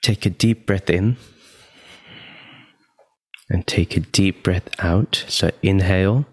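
Male meditation guide, very low pitch, unhurried and calm delivery with long pauses, dry close sound. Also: breathy edge, no echo.

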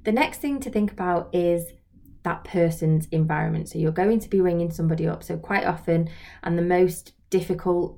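A woman's voice: fairly low pitch, warm tone. A woman giving an informal instructional talk, reflective and explanatory, often putting questions to the listener. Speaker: social work trainer and video host.

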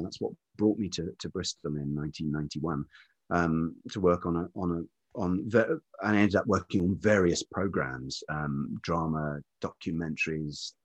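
A middle-aged man from the UK speaks with a very low, husky voice. He tells long stories from his working life, stopping often to restart his sentences. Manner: halting, many ums and restarts. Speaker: podcast guest, writer and producer. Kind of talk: relaxed storytelling interview.

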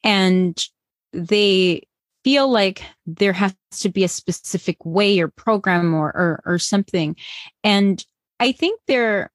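A woman's voice: mid-range voice, expressive, takes it slowly, dry recording. Earnest woman with a dry close sound. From Colombia. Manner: earnest, encouraging, with frequent restarts. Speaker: guest, bilingual parenting educator. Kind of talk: podcast interview answers.

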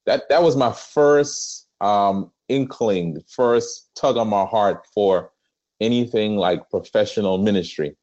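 A man with a Trinidadian accent, a pastor telling his life story as a podcast guest, with many pauses. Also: fairly low pitch, darkish tone, dull audio. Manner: earnest storytelling with frequent "um" pauses. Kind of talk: conversational interview.

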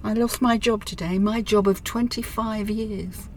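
A woman acts a middle-aged career woman in a radio play, withdrawn and despairing. Her mid-pitched voice is terse and guarded, with clear ambient noise behind it. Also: fairly even intonation, measured pace, natural voice. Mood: bitter, distraught. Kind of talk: radio drama acting.

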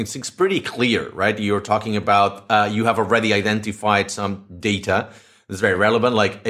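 Male podcast host, low-ish voice, chatty and loose, lots of restarts. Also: fairly bright tone. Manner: chatty, many restarts and fillers. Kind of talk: casual interview. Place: Madrid, Spain.

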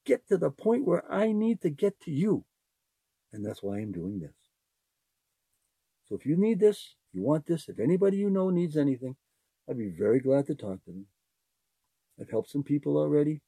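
An older man with a very husky, mellow voice talks to his listeners unscripted, earnest and rambling, circling back over the same phrases. His pitch swings widely as he talks, and the sound is dry and close. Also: big pitch swings, moderate pace, long pauses, dry recording. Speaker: podcast host. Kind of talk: unscripted heartfelt monologue.

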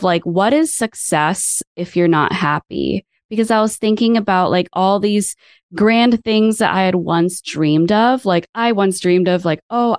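A woman's voice: mid-range voice, dry recording, clean studio sound. A woman hosting a podcast, talking in an enthusiastic, chatty way. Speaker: podcast host. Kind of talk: podcast interview.

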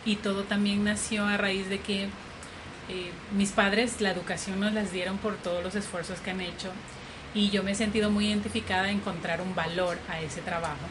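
Woman from ecuador, relaxed storytelling, noisy room ambience, mid pitch. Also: moderate pace. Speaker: interview guest, coffee farmer. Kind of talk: conversational interview.

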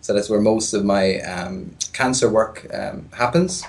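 A man's voice: on the low side, measured pace. A middle-aged Irish man talking easily as a guest on a podcast, joking now and then. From Ireland. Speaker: guest, exercise physiology lecturer. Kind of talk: podcast interview.

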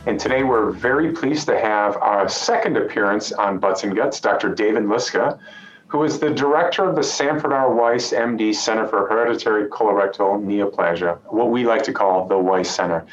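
Host casting: middle-aged man, chatty and friendly, recorded in a roomy space with dull audio and faint ambience. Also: fairly low pitch. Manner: friendly, conversational, uses "you know" and quick asides. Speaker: podcast host, surgeon. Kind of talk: hosting a medical podcast interview.

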